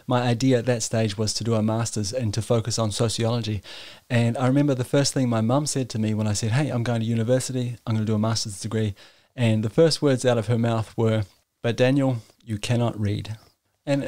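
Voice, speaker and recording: A man's voice: medium pitch, a little mellow, no echo. A man, hosting a podcast and telling his own story in a candid, self-deprecating way, with small restarts. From New Zealand. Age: 40s. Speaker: podcast host. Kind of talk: personal storytelling monologue.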